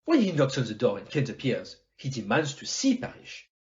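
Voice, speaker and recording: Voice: mid-range voice, huge pitch swings, fairly quick, darkish tone. Fussy, confident Belgian male detective acted by an amateur for an audio play; wildly swooping intonation, didactic and theatrical, slightly muffled recording. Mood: assured, didactic. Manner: theatrical, didactic, rhetorical "eh?" tags, French phrases.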